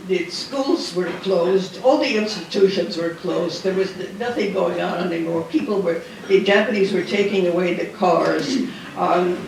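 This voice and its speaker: An older man giving a rambling, halting talk about his childhood, with many restarts and fillers, recorded in a large hall at a dinner event. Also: high voice, vocal fry, slight background noise. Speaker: guest speaker. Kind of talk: personal wartime memoir talk. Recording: echoey hall heard from a distance.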